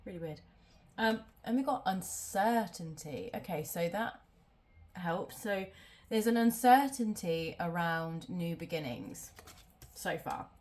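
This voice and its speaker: A woman's voice: lowish voice, slow pace, darkish tone. A woman doing a casual tarot reading at home, sounding nasal from a cold and rambling with many ums. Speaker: tarot reader.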